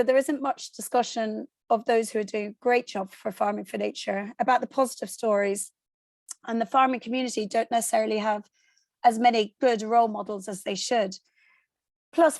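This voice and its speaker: An Irish woman, hoarse from a cold, giving a steady online presentation over a video call and explaining with frequent ums. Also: on the high side, no room sound. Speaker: guest speaker.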